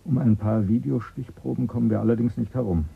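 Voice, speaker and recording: An actor playing a calculating male politician with a husky voice in a radio play, speaking in a measured, persuasive way. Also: medium pitch, fairly even intonation, full character voice. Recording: phone-like thin sound.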